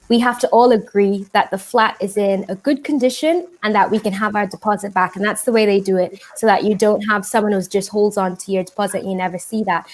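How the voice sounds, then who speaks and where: A woman's voice: medium pitch, low-quality recording. A woman from the Bahamas talking casually on a panel, chatty and explaining things step by step, with small restarts, over a video call. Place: home in Scotland, video call.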